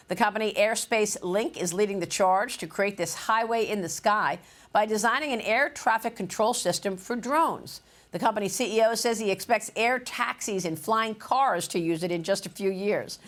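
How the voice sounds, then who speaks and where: A woman anchoring a TV business news show, reading a polished, upbeat intro and asking questions in a studio. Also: mid-range voice. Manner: polished, upbeat broadcast delivery.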